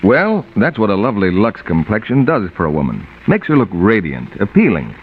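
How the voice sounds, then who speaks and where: Low-pitched 1940s American radio announcer, man. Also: big pitch swings, brisk. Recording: dull vintage radio recording, faint noise.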